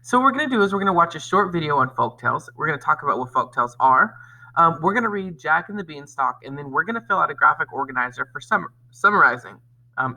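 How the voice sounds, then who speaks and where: A male elementary teacher presents a lesson and reads aloud to children. His pitch moves up and down freely and his tone is bright and forward. He speaks expressively and addresses the pupils directly. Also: creaky, rough recording.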